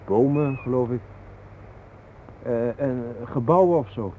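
A man's voice: mid-range voice, slow, natural voice. An actor playing a puzzled, skeptical man in a radio play, questioning with incredulity. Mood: incredulous. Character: skeptical, puzzled male companion named Ben, questioning everything. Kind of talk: Dutch radio play acting. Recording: noisy, narrow-band old radio recording.

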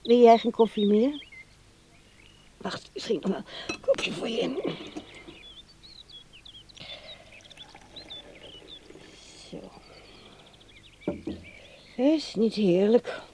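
Woman playing homely, fussing housewife in radio drama, soft mellow tone, faint noise behind. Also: on the high side, close to an everyday voice. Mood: chatty, fussing. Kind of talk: radio play acting.

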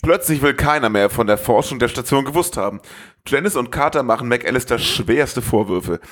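A man's voice: mid-range voice, lively intonation, moderate pace, fairly bright tone, slight background noise. A man hosting a podcast, reading a scripted film summary as a narrator. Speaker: podcast host.

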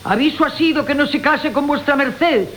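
An actress with a Castilian accent playing a saucy, mocking maidservant in a classical radio comedy, sly and taunting. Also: female voice, high-pitched, moderate pace, fairly bright tone, low-quality recording. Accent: Castilian Spanish. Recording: hissy 1980 radio broadcast recording.

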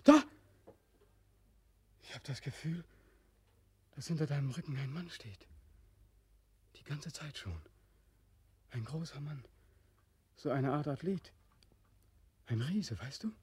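A man's voice: on the high side, animated, slow, long pauses, a little mellow, breathy edge, exaggerated voice. A man acting a haunted, despairing returned soldier in a 1940s German radio play, brooding and intense, swinging between whispers and outcries. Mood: dazed, oppressed.

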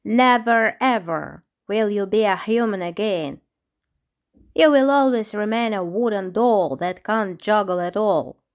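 A woman voicing a clumsy, angry male ostrich clown villain in a storybook, shouting and menacing. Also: medium pitch, takes it slowly, natural voice.

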